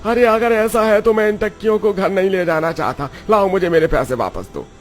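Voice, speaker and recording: A man voicing an excitable, complaining male street-food customer in a cartoon, exclamatory and indignant. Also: high voice, a little fast, soft background music, pitched above the performer's own voice. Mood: disgusted, angry.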